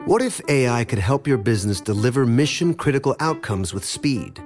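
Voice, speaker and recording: A man's voice: medium pitch, sing-song, brisk, music in the background. A man reading a corporate commercial in a polished, earnest style. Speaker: ad voice-over.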